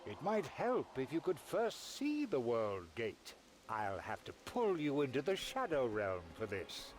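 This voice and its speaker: An actor playing a sly, mocking, self-serving trickster from a shadow realm in a fantasy video game, with a teasing, theatrical drawl. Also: male voice, mid-range voice, wildly swooping pitch, measured pace. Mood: playful, sly. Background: music.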